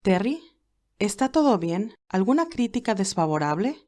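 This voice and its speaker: Story role: reserved young woman, stoic and gently reassuring acted by a female reader. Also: medium pitch, very animated, normal speed, lightly acted. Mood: concerned, reserved.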